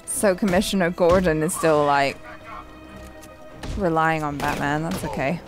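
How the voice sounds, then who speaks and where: A woman's voice: low-pitched, measured pace. A young adult woman in casual reaction chat, speaking into a headset mic as a show host. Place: small indoor room, seen at desk. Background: video game music and sound effects.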